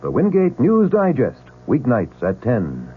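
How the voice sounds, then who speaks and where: A man's voice: mid-range voice, wildly swooping pitch, unhurried, husky, narrow, tinny audio. A man hosting radio news, reading a show promo in punchy, clipped phrases addressed straight to the listener. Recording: band-limited old radio broadcast.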